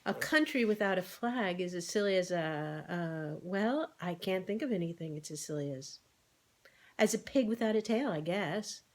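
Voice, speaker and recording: A woman's voice: fairly low pitch, animated, normal speed, close to an everyday voice. A woman voicing a comfortable, motherly, plainspoken cow with a good heart in an animal storybook. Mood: good-humored.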